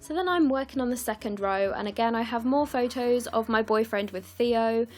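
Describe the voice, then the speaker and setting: A young woman from the UK, croaky and scratchy, chatting through her scrapbooking as a casual voice-over, with lots of ums and apologies. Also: a little high, normal speed, darkish tone, faint music behind. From United Kingdom. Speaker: scrapbooking vlogger. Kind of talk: casual process video voice-over.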